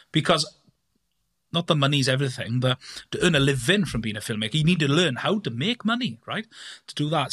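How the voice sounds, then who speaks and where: A man in his thirties tells his own story in a bright, forward voice. He talks earnestly and rambles, with plenty of ums and restarts, and his pitch sits in the middle. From Wales. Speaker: guest, filmmaker and business owner. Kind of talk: conversational interview.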